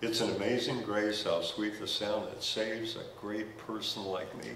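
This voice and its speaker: A man's voice: on the low side, fairly even intonation, measured pace, fairly bright tone, slight background noise. An older man preaching as pastor on a church stage into a headset mic, conversational with humorous asides. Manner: gestures while walking the stage. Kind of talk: sermon. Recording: roomy church sound from a distance.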